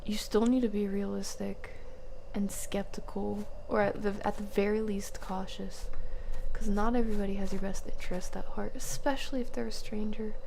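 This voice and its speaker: A woman's voice: medium pitch, vocal fry, background noise. A woman doing casual, chatty live commentary as she streams a horror game, reacting and often muttering to herself.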